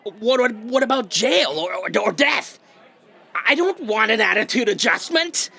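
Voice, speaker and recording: An unhinged invisible villain, rasps through an audio drama. The delivery is slow and grandiose, with heavy creak and big swings in pitch. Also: male voice, animated, sharp tone, some chatter behind, heavily acted. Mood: panicked pleading. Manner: melodramatic, grandiose. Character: bitter invisible male writer villain, theatrically unhinged.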